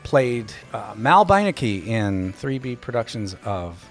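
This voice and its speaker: A man's voice: lowish voice, big pitch swings, takes it slowly, soft background music. A man co-hosting a casual podcast, talking in a joking, self-deprecating way. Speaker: podcast co-host. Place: indoors at a small theatre.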